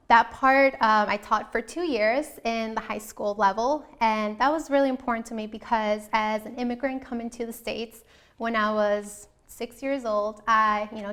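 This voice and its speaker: A woman's voice: fairly high pitch, pushed and bright, clean tone. A young woman from the US Midwest, born in Colombia, hosting a show and talking informally and earnestly to viewers about herself, often rephrasing as she goes. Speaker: show host.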